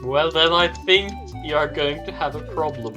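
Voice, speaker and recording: A man chatting casually with friends during a game, explaining things in a measured way. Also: on the high side, over music. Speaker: co-player in a gaming stream. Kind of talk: casual gaming chat.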